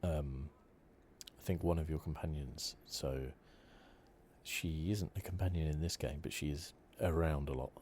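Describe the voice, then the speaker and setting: A man softly giving relaxed, hesitant ASMR commentary over a video game playthrough, close to the microphone. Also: extremely low pitch, a little slow. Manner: soft-spoken, relaxed, hesitant. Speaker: gaming video host. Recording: clear steady background noise.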